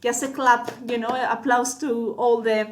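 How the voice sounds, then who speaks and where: A woman's voice: on the high side, flat delivery, a little slow, a little hiss or hum. A woman with a Spanish accent, a cinematographer guest chatting casually, full of fillers and restarts. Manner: hesitant, many fillers and restarts. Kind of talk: casual panel chat.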